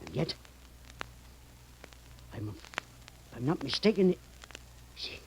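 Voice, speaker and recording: An actor playing a sly, sinister professor with a deliberate, insinuating manner in a 1930s radio horror drama. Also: male voice, mid-range voice, big pitch swings. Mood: uneasy. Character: sly sinister male professor, a fake occultist. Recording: faintly noisy old radio recording.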